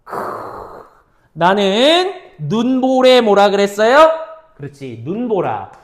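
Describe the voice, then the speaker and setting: A woman's voice: mid-range voice, very animated, takes it slowly. A woman teaching exam-prep literature, firing off questions and answering them herself, mixing casual and polite speech. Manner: mixes casual and polite speech, checks understanding often. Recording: roomy echo.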